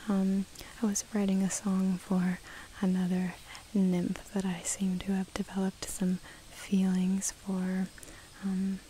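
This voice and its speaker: A woman in her twenties playing a curious, kindly wood nymph in an ASMR roleplay, whispering softly and musing hesitantly close to the mic. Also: mid-range voice, completely flat delivery, takes it slowly, natural voice, rough recording. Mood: shy, mildly reproachful. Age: 20s. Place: outdoors in woodland. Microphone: close to the microphone. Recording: close whispered recording with hiss.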